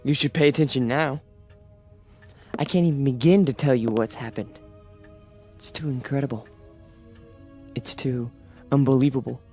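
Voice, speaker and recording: A man's voice: mid-range voice, darkish tone, natural voice. An actor playing an intense, easily led young male demagogue in a radio drama.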